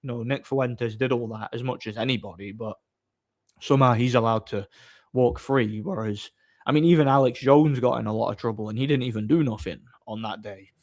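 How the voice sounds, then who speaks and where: A man hosting a livestream, chatting casually and off the cuff in a blunt, opinionated, somewhat halting way. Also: medium pitch, darkish tone. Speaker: livestream host. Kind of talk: casual off-the-cuff chat, answering viewer comments.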